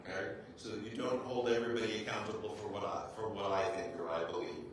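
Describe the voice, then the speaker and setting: A man's voice: fairly low pitch, steady tone, fast talker. A man, a rabbi, giving an informal talk to a group, with self-deprecating humor and frequent "right?" check-ins to his listeners. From United States. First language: English. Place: large echoey room with an audience. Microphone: clip-on mic, heard from a distance. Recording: very echoey hall, distant mic, faint noise.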